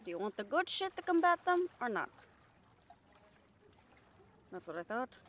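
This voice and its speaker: A woman's voice: a little high, big pitch swings, normal speed, a bit bright. A woman playing a brash, cheeky catgirl pirate in an audio roleplay, bantering with salty sarcasm. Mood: defiant. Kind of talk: roleplay audio drama acting.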